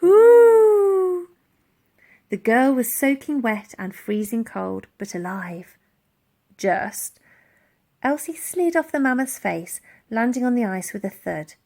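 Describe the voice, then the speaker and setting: A woman reading a children's story aloud in an expressive, dramatic storytelling style. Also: a little high, measured pace, vocal fry. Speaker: audiobook reader.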